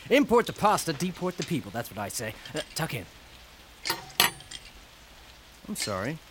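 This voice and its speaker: A man's voice: on the high side, big pitch swings, brisk, background noise. An actor in a radio drama playing a chatty, eager scholarly man with a hesitant, fussy way of talking. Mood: cheerful, fussy.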